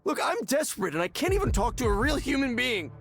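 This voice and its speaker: An actor playing a desperate, anxious man in a visual novel, with a halting, pleading manner. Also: very high voice, big pitch swings, a bit bright, faint music behind, heavily acted. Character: desperate, anxious male client in counseling. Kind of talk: naturalistic visual novel voice acting.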